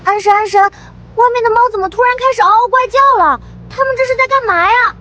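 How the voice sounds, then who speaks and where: An actress playing an anxious, loyal young woman in an animated drama. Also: very high voice, brisk, highs cut off. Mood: curious, uneasy. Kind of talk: Chinese animated drama dubbing.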